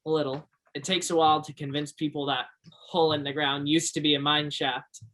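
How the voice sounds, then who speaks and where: A man's voice: a little high, level tone, a little fast, pushed and bright. An actor in a one-act stage play playing an earnest, shy young Alaskan backwoodsman, speaking a little hesitantly. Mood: keen.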